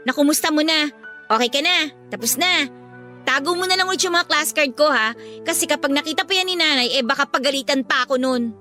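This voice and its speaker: An actress playing a bratty, defiant eighteen-year-old younger sister in a Filipino radio drama, with a sarcastic, whiny way of arguing. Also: female voice, high-pitched, brisk, pushed and bright, faint music behind. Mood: defiant, irritated.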